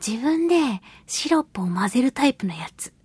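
Breathy high-voiced lady radio host, chatty n giggly, dry close studio sound. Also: a little fast, dry recording. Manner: chatty, giggly, expressive with acted asides. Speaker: radio show host and voice actress. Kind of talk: casual solo radio talk, reading listener mail.

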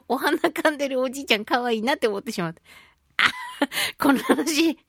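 Woman, high voice, dry close sound, casual radio host, expressive, giggly, playful sound effects. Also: normal speed, no echo. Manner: expressive, giggly, uses sound effects and little acted asides. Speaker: radio show host, voice actress. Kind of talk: casual solo radio talk.

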